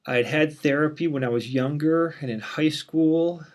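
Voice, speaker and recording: A man in his thirties with a mild stutter, introducing himself to his online class as the instructor in a relaxed, candid way with frequent "um"s. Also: on the high side, normal speed. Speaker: university instructor. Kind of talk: casual course introduction.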